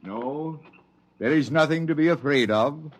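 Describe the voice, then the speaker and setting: An actor playing a gentle, fervent old wandering preacher in a vintage radio drama, speaking in biblical pronouncements. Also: male voice, on the high side, close to an everyday voice. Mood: gentle, prophetic. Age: older. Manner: slow, oracular, biblical pronouncements. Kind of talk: mid-century American radio drama acting.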